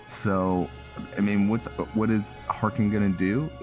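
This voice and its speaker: Casual male gamer, low-pitched, thinking out loud over a playthrough, game music underneath. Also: level tone, measured pace. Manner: rambling, thinking aloud.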